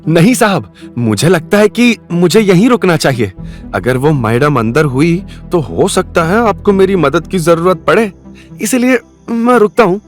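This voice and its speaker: A man's voice: high voice, lively intonation, measured pace, faint music behind, voice pushed up. A man voicing a deferential, helpful rickshaw driver in an audio drama, respectful and pleading. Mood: concerned, eager. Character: loyal, deferential male rickshaw driver, anxious to help.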